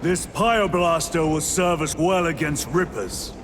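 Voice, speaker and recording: Actor as a dry, sarcastic armored soldier, slightly high voice, loud game music. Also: male voice, measured pace, lightly acted. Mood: stern. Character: sardonic male armored super-soldier. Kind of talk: video game cutscene acting.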